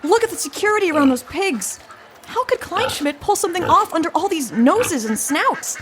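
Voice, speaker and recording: An actress playing an indignant, thin-skinned young undercover agent in an audio comedy, theatrical and huffy. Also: female voice, very high voice, big pitch swings, normal speed, fairly bright tone, a little hiss or hum, heavily acted. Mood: intense, scornful. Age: young adult. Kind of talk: comedy audio drama acting.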